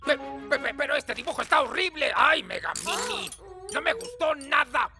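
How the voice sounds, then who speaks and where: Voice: high voice, very animated, moderate pace, vocal fry, heavily acted. An actor voicing a bratty, bumbling wolf villain in a Latin American Spanish cartoon dub, dramatic and whiny. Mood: angry, exasperated. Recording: music behind the voice. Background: loud cartoon music.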